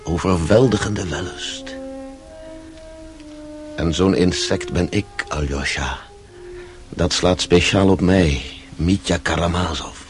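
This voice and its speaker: A man's voice: sits low, very animated, takes it slowly, long pauses, faint music behind, full character voice. An actor playing a tormented, passionate Russian man in a radio drama, brooding and rhetorical in his delivery. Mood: brooding, intense.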